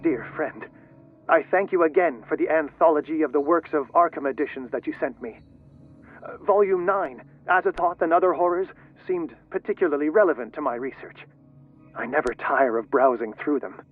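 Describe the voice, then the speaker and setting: An actor playing a solemn scholar obsessed with occult secrets, reading letters formally through a radio-like filter in a video game. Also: male voice, on the high side, normal speed, faint music behind. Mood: solemn, confessional. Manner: formal, literary. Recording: tinny, narrow radio-like sound.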